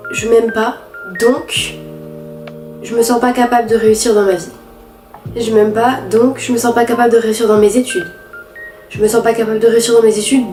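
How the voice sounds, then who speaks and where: Teen girl vlogger with a cold, nasal and stuffy, mellow higher voice, halting and candid; echoey room, faint music. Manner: halting, candid, many restarts and fillers. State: congested with a head cold. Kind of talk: personal confessional vlog.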